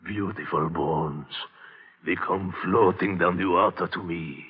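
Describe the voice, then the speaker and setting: An actor playing a crazed old French man in a radio horror play, gloating and wheedling with a French accent and a gravelly voice. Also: deep voice. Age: older. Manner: slow, wheedling, gloating, with sudden swoops. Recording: dull lo-fi old radio recording.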